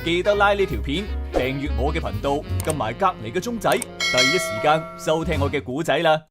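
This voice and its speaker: A man's voice: fairly high pitch, animated, a little fast, over loud music. A man hosting a channel, delivering a scripted greeting to listeners. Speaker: channel host.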